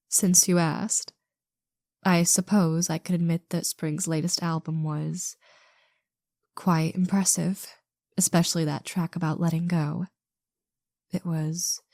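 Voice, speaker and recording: A woman's voice: fairly low pitch, fairly even intonation, takes it slowly, vocal fry, long pauses, dark tone, no room sound, studio quality, heavily acted. A woman playing a haughty, sardonic famous actress with an imperious drawl in a scripted roleplay. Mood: grudging admiration. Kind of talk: scripted roleplay audio drama.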